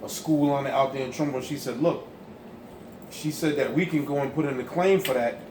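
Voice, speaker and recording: A man's voice: mid-range voice, brisk. A man giving an emphatic, insistent teaching talk. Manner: forceful, insistent. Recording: echoey room with background noise.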